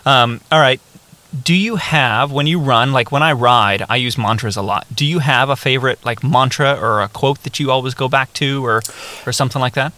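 A man hosting a casual podcast interview, firing off quick questions and joking with his guest. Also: mid-range voice, bright, forceful tone, no echo. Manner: upbeat, joking, quick prompts. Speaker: podcast host.

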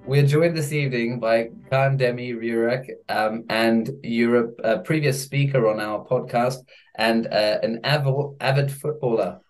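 A man hosting a podcast, chatting loosely with a guest and pausing on fillers as he thinks. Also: medium pitch, steady tone, poor audio. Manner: hesitant, with many fillers and quick laughs. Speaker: podcast host. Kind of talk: casual interview chat.

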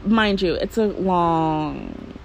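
A casual podcast host chatting solo, rambling from one thought to the next with plenty of fillers and loose, unpolished phrasing. The voice sits at an ordinary middle pitch, and a faint hiss runs under the recording. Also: animated, very slow, gravelly.

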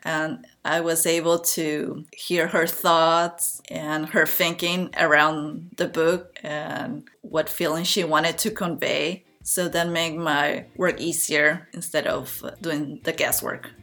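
A woman's voice: deep voice, steady tone, slow pace, hardly any pauses, a bit bright. A woman speaking conversationally in an interview as an illustrator.

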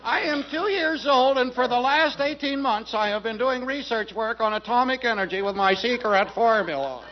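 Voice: medium pitch, moderate pace, natural voice. A performer voicing a self-important two-year-old boy genius in a 1940s radio comedy. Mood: boastful. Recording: dull old radio broadcast with loud noise.

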